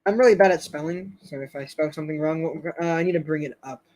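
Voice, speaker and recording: A man with a somewhat high voice hosts a casual live stream. He rambles and hesitates, restarting his sentences and filling the gaps with fillers. His voice is getting a little hoarse. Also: fast talker, darkish tone, slight background noise. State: slightly hoarse, losing his voice.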